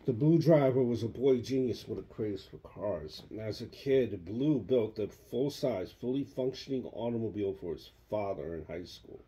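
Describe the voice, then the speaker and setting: A man's voice: lowish voice, lively intonation, moderate pace, hardly any pauses, warm tone, slightly rough, low-quality recording. A man in his thirties, a father reading a children's book aloud at bedtime. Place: small child's bedroom. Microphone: phone held close.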